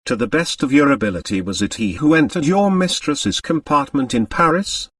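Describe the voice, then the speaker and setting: A man's voice: medium pitch, expressive, moderate pace, no room sound, studio quality, distant mic, voice pushed up, close to an everyday voice. A man voicing a polite, formal French examining magistrate who questions carefully, in an audiobook. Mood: probing, courteous. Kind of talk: audiobook character dialogue.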